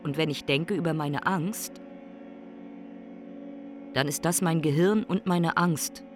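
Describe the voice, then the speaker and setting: An actor playing a brooding, philosophical ailing man in a radio play monologue, speaking in deliberate, emphatic short phrases. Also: mid-range voice, natural voice. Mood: brooding, reflective.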